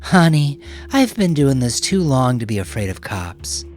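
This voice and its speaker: Man voicing a brash, world-weary woman, pitched up. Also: big pitch swings, measured pace, darkish tone, soft background music. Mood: mocking. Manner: scornful, brash, mocking. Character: hard-bitten, defiant, world-weary middle-aged woman sex worker. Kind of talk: audiobook character voice.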